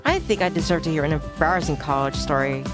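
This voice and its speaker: A woman's voice: low-pitched, fast, over music, voice pushed down, natural voice. A woman voicing a teasing, frank male rival coworker from a romance visual novel, with a dry manner. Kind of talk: visual novel character dialogue read aloud.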